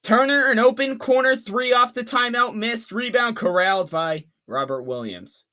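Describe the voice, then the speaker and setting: A man of twenty giving fan play-by-play on a live stream, calling the action quickly and chatting with viewers between plays. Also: very high voice, expressive, sharp tone. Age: 20s. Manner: rapid call of the action mixed with chatty asides to viewers.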